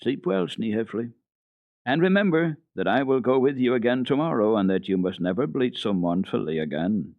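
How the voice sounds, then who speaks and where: A man's voice: lively intonation, normal speed, dry recording, narrow, tinny audio, natural voice. A man voicing an eager, cheerful little mountain girl in a raised, questioning voice, from an old radio recording. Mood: tender. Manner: high, pleading, wide-eyed questioning.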